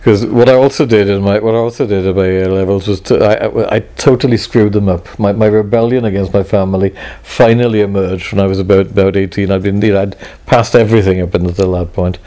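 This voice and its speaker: A middle-aged man from Wales reflecting on his past in a halting, wry way, full of restarts. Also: fairly low pitch, fairly even intonation, warm tone. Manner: halting, many restarts and self-corrections, wry. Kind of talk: reflective personal talk.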